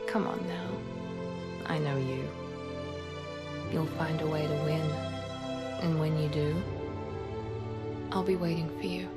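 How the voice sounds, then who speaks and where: A woman's voice: low-pitched, steady tone, slow, long pauses. A woman playing a self-assured, composed lover in a video game drama, speaking intimately. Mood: affectionate. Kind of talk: cinematic game voice acting. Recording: music behind the voice.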